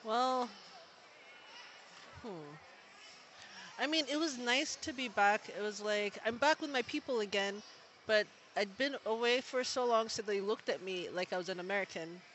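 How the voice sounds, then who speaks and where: A young man from Kenya talks easily as an interview guest, his voice pitched high and sounding sharp and bright. He rambles loosely, leans on "like" as a filler, and laughs freely. A faint hum of background ambience sits under him. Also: moderate pace, low-quality recording. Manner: relaxed, chatty, many "like" fillers, laughs easily. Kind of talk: casual interview conversation.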